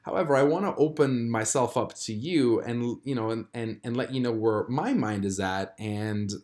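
A man in his thirties, born in Croatia, hosting a solo podcast and talking through a personal struggle in a reflective, halting way with many fillers and restarts. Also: mid-range voice, darkish tone. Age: 30s. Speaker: podcast host. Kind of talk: candid solo podcast confession.

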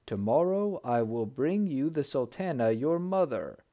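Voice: mid-range voice, sing-song, measured pace, dark tone. A storyteller voicing a commanding older sultan who speaks in a regal, emphatic manner.

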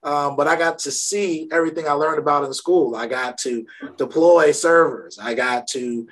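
A man in his thirties from Brooklyn hosting an interview, speaking in a relaxed, easygoing way and often adding "you know" and "right?". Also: on the high side, moderate pace. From Brooklyn, New York. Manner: laid-back, frequent "you know" and "right?". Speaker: podcast host. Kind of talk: casual interview chat.

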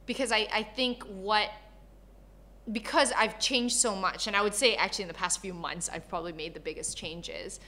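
Female interview guest, from Malaysia and Canada. Bright, forward voice, candid and conversational, animated storyteller. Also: mid-range voice, normal speed. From born in Malaysia, grew up in Canada. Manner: animated storyteller, acts out quoted dialogue.

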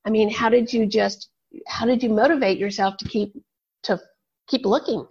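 Woman host, higher pitch with a gravelly fry, muffled lo-fi sound. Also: fairly even intonation. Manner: warm, halting questions with ums. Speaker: podcast host. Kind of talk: casual interview chat. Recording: muffled lo-fi audio.

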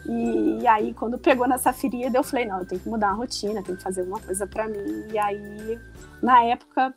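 A woman in her forties from Rio de Janeiro with a Carioca accent, a guest on a casual podcast, chatty and quick to laugh. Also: fairly high pitch, fairly even intonation, faint music behind. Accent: Carioca Brazilian Portuguese. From Rio de Janeiro, Brazil. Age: 40s. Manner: chatty, laughing easily, many restarts.

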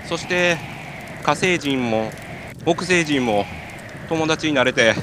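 A man's voice: fairly high pitch, expressive, slow pace. A man with a Kansai accent playing a hungry, freezing, silly stranded climber in an amateur comic radio drama, with absurd earnestness. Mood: dreamy. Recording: noisy amateur recording.

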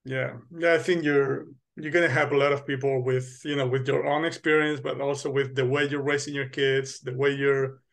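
A man's voice: mid-range voice, level tone, normal speed, gravelly, hardly any pauses, a bit bright. A man hosting a podcast interview, encouraging his guest with short agreeing replies. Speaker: podcast host. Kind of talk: casual interview talk.

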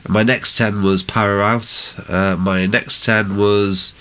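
Man, low pitch, stuffy with a cold, enthusiastic, casual music chat, podcast co-host. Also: flat delivery, slow pace, pushed and bright, clear, resonant tone. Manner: enthusiastic, effusive. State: congested with a cold.

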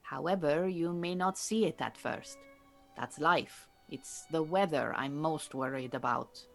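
An actress playing an earnest, proud Iranian refugee woman who mentors beekeepers in an audio drama, speaking in a measured, formal, precise way. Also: sits low, vocal fry, a bit bright, soft background music, exaggerated voice. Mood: calm, cautioning. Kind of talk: naturalistic audio drama acting.

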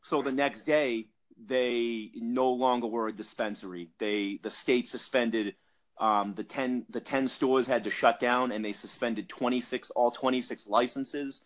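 A man's voice: medium pitch, fairly even intonation, a little slow. A man from Massachusetts with a Boston accent, telling an industry story in a casual podcast chat with lots of fillers and restarts. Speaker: cannabis industry guest.

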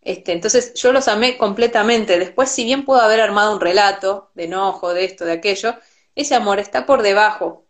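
A woman's voice: medium pitch, fairly quick. A woman from Argentina with an Argentine accent, a guest explaining ideas in a reflective, conversational way and often restarting her words. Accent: Argentine Spanish. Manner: thoughtful, repeats words when restarting. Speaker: Ayurveda teacher, guest. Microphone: video call.

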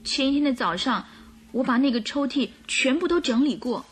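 A woman's voice: sits high, lively intonation, moderate pace, a little hiss or hum. A woman playing an earnest, distressed young governess under suspicion in a radio drama. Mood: earnest denial.

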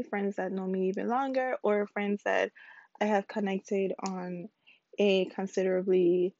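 A woman in her twenties from the Virgin Islands, hosting her own podcast in a rambling, chatty way with a slightly squeaky voice. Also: a little mellow, no room sound, muffled recording. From United States Virgin Islands. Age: 20s. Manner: rambling, chatty, self-correcting. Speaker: podcast host. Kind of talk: casual solo podcast intro.

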